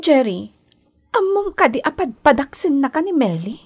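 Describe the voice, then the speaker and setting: Radio drama role: insistent young schemer in love, Ilocano accent, high voice with wide melodic swings. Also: female voice, very animated, normal speed, darkish tone. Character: scheming, lovelorn young woman, Zita, pleading and insistent. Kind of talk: Philippine radio drama acting.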